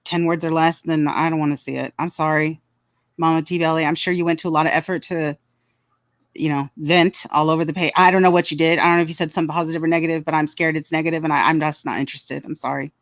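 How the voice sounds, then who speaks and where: A woman's voice: sits low, fairly even intonation, fast, fairly bright tone, no echo, clean studio sound. A woman with a Southern American accent co-hosting a casual chat, candid and laughing often as she talks straight to commenters. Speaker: co-host of a chat stream.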